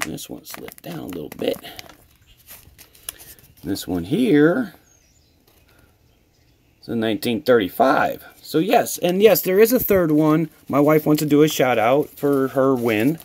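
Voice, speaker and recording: Husky American man with a lively delivery. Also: fairly high pitch, a little slow, long pauses. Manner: enthusiastic, appreciative. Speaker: coin hobby vlogger. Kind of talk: casual chat to camera while opening mail.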